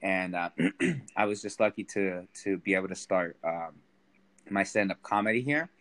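A man's voice: sits low, moderate pace, fairly bright tone. A young man from Sacramento, a stand-up comedian, chatting casually as a podcast guest, self-deprecating and laughing often. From Sacramento, United States.

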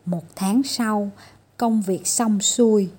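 A woman's voice: mid-range voice, takes it slowly, darkish tone. A woman storyteller reading a children's fairy tale as scripted narration.

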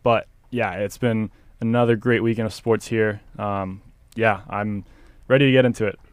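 A young man co-hosts a college sports radio show, his voice sitting on the low side. He talks in a relaxed, chatty way and laughs easily. The studio sound is dry and close. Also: normal speed, clean tone, dry recording. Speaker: co-host. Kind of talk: casual sports talk.

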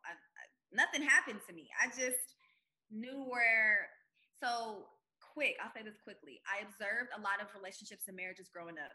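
A woman in her forties speaks as a podcast guest, with a very bright, forceful tone. She tells her stories emphatically, lifting her voice to drive points home, and her pitch sits in the middle for a woman. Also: poor audio. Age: 40s. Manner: emphatic, animated storyteller. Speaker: guest entrepreneur. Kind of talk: podcast interview.

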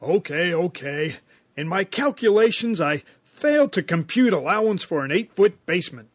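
A man playing an earnest, bumbling suburban dad, husky. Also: sits high, lively intonation, normal speed, no echo, studio quality. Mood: sheepish, defensive. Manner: sheepish, hesitant when explaining himself. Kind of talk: scripted comedy radio sketch.

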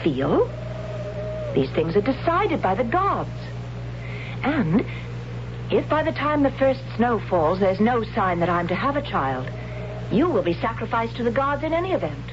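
Blunt, pious warrior woman, fairly high and very lively in pitch; hissy dull vintage radio. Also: a little slow, long pauses. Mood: earnest. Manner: blunt and dismissive, then curious. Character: young warrior woman captor, matter-of-fact, pious. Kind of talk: 1970s radio drama acting. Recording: dull old radio broadcast with hiss.

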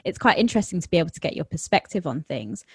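Low-voiced London woman hosting a podcast. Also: big pitch swings, fast talker, breathy edge, dry recording, clean studio sound. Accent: London English. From England. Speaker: podcast host. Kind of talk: podcast interview.

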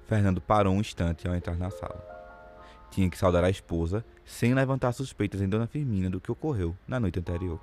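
A man's voice: deep voice, brisk, a little mellow, soft background music. A man giving scripted literary narration for an audio drama.

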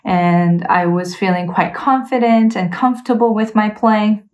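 A woman's voice: medium pitch, a little slow, darkish tone, lo-fi sound. Young woman musician, thoughtful and hesitant. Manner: thoughtful, hesitant, many fillers and restarts. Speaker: interview guest, cellist. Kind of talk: casual conversational interview.

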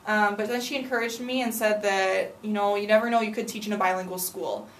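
A woman's voice: mid-range voice, fairly quick, slight background noise. Young woman, echoey and distant. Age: 20s. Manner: frequent ums, earnest and reflective. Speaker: student teacher. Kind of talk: interview answers.

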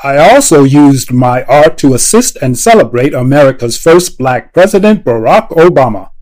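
Older guy reading out his life story, mid-pitched voice, storyteller narration. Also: lively intonation, normal speed, darkish tone, poor audio. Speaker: storyteller recounting his own career. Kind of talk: scripted autobiographical narration.

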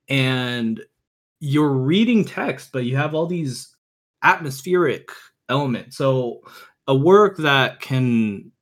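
Earnest, halting man on a podcast. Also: mid-range voice, a bit bright. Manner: earnest, opinionated, halting as he searches for words. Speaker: podcast guest, visual novel enthusiast. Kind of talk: casual podcast chat.

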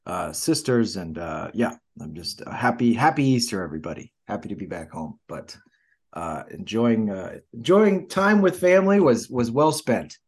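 A man chatting casually as a podcast co-host, with a hesitant, halting delivery. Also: lowish voice, animated, breathy edge. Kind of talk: casual chat.

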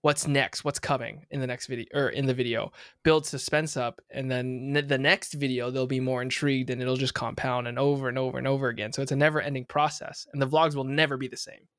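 A man's voice: medium pitch, fairly even intonation, fast talker, few pauses, fairly bright tone, dry recording, clean studio sound. A young man hosting a podcast, talking casually with lots of fillers and restarts. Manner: rambling, many fillers and restarts. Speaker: podcast host.

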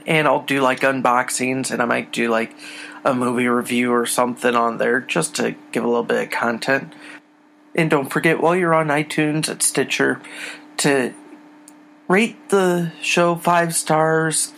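A man's voice: medium pitch, fairly even intonation, measured pace, gravelly, a bit bright, a little hiss or hum. A man hosting a solo podcast, talking casually and conversationally straight to his listeners. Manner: conversational, addresses listeners directly. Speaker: podcast host.

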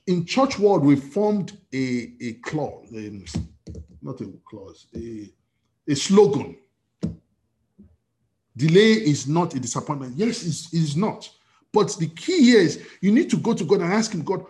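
A male pastor leading an interactive sermon over a video call, talking with many repeated restarts and emphatic rising bursts. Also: sits high.

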